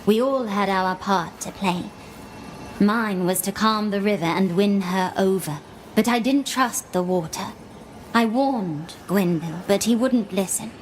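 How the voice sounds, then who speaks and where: A grieving blind woman of old magic , acted by a woman for a game. She speaks in a medium register with hushed, fearful solemnity, in short lyrical phrases. Faint ambient noise sits behind her. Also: mid-range voice, a little slow, close to an everyday voice. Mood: sorrowful. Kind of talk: video game character acting.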